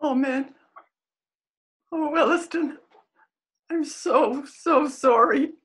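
An older actress playing a loving, torn married woman in a period radio drama, heartfelt and halting. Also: high voice. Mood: grieving. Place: room at home, video call.

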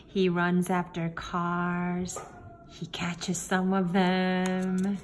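A woman reading a picture book aloud to young children, in simple phrases with deliberate pauses. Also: fairly low pitch, monotone. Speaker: children's story reader. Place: indoor room, book filmed on a table. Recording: faint room noise behind the voice.

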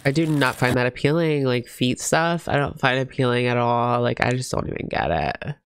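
A man's voice: mid-range voice, brisk, a bit bright, slight background noise. A young man hosting a reaction video, chatting in a gossipy, casual way into a close mic at home. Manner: chatty, gossipy, frequent "like" fillers. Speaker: reaction channel host. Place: indoor home room, gaming chair. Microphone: podcast mic in front of face.

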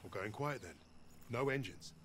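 A man's voice: medium pitch, animated, moderate pace, fairly bright tone, slight background noise, lightly acted. A man with a British accent playing a bold, resolute wartime sergeant in a video game drama. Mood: calm, planning. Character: bold British paratrooper sergeant, resolute male squad leader.

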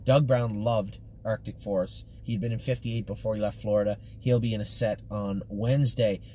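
A middle-aged man speaks in a somewhat deep voice, casually running through an update. His phrasing is informal, choppy and matter-of-fact. He is recorded inside a car, with faint ambient noise around him. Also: steady tone, moderate pace, mellow. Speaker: stable commentator giving horse updates. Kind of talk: casual spoken update to camera. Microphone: camera or phone mounted at arm's length. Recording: clear background noise in a car.

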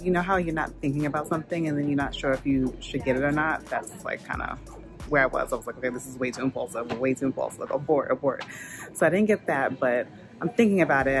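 Chatty low-voiced woman vlogging. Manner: chatty, filler-heavy, relaxed. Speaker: lifestyle vlogger. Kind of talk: casual vlog chat to camera. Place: indoors, home and shopping mall. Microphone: phone camera at arm's length.

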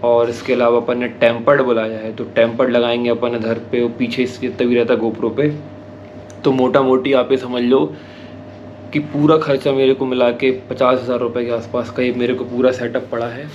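A young man chats his way through his gear, explaining each step in a steady, flat-toned voice. The sound comes off an action camera's mic, slightly dull and lo-fi, with faint traffic noise underneath. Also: medium pitch, moderate pace. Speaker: motovlogger. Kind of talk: casual gear-review vlog. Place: small home room indoors, later riding a motorbike on city streets. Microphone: action camera with attached mic.

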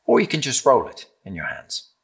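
A middle-aged British man gently and encouragingly demonstrating a craft step by step in simple instructions. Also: mid-range voice, huge pitch swings, normal speed, creaky, slightly rough. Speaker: craft presenter. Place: indoor room with timber-framed walls, at a table.

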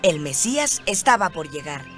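A woman narrates an animated Bible story. Her delivery is lively and expressive in pitch, with music clearly playing behind her. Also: normal speed. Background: background music.

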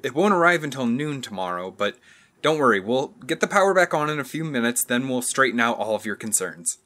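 A man's voice: mid-range voice, expressive, brisk, sharp tone. A man acting an uneasy character on a park tour in an audio drama. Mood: uneasy, reassuring.

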